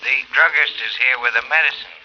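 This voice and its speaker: A man's voice: medium pitch, a little fast, pushed and bright. An actor playing a polite apartment doorman in a 1940s radio drama, heard through a distant intercom filter. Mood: polite, matter-of-fact. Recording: muffled, noisy 1940s radio recording.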